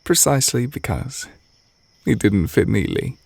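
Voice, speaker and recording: A man acting a gentle, adoring witch boyfriend in an intimate ASMR roleplay, soft-spoken and tender with a light teasing manner. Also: medium pitch, lively intonation, unhurried, creaky, no echo. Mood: gentle, reflective.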